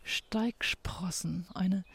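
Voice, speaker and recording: A woman's voice: lowish voice, drawn-out words, vocal fry, slightly rough. A woman improvising experimental spoken word in a musing, repetitive way. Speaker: participant in a sound-art walk.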